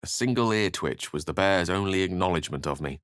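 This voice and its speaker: A learned human wizard in a fantasy video game. His voice sits low, and he speaks in articulate, theatrical phrases. He comes across as wry and courtly, with a streak of melancholy. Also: lively intonation, a little fast, fairly bright tone, no room sound, studio quality. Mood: measured, grave. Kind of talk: video game character dialogue and narration.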